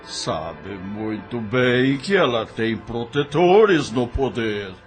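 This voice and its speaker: A man voicing a grave, kindly older helper who brings news and food in an audio drama, speaking slowly. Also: animated. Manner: slow, grave, low-spoken. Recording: faint music underneath.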